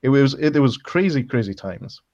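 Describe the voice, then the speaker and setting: A man's voice: medium pitch, lively intonation, brisk, a little mellow, dry recording. Hesitant male podcast host, dull lo-fi sound. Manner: friendly, hesitant, many "uh"s and restarts. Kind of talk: casual interview.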